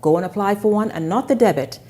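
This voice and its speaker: A woman's voice: mid-range voice, fast, dark tone. A woman hosting a live TV talk show, chatty and enthusiastic, often repeating her words. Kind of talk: live TV interview chat.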